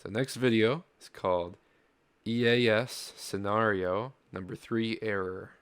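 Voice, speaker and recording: A man's voice: lowish voice, fairly even intonation, a little slow, a bit bright, clear, resonant tone, no room sound. A man hosting a reaction stream in casual, hesitant, giggly chat. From Canada.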